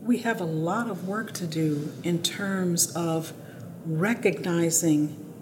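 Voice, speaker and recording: Slow, low-voiced, deliberate woman. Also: background noise. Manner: deliberate, emphatic. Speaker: opera librettist, interviewee. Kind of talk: serious interview commentary.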